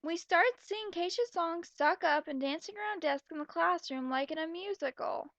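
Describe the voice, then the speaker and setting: A woman's voice: very high-pitched, normal speed, fairly bright tone, no room sound. A young woman reading a story aloud with blunt, mocking, exasperated commentary, on a slightly dull home recording. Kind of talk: sarcastic reading with running commentary.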